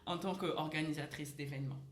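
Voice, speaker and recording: A woman's voice: sits low, fairly even intonation, brisk. A young woman from the Republic of the Congo chatting casually on a podcast, joking and giggling. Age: young adult. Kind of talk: casual chat. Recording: echoey room with faint noise.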